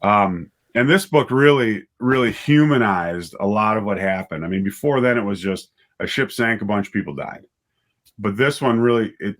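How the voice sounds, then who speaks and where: A middle-aged man with a fairly low voice, speaking as an interview guest. He tells his story conversationally, doubling back on words and correcting himself as he goes. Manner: halting, with repeated words and self-corrections. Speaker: writer and director, interview guest.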